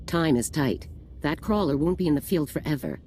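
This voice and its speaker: A man plays a composed, commanding general in a sci-fi video game. His briefing is formal and crisp, with lively movement in pitch. There is faint ambient noise behind him. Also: normal speed, close to an everyday voice. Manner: formal, crisp military delivery. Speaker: military commander giving briefing. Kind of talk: scripted video game dialogue.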